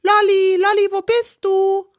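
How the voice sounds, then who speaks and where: Woman doing a worried dad, calling out, hoarse. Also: extremely high pitch, flat delivery, a little slow, no echo, clean studio sound, pitched above the performer's own voice. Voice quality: hoarse from a cold. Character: worried father calling for the dog.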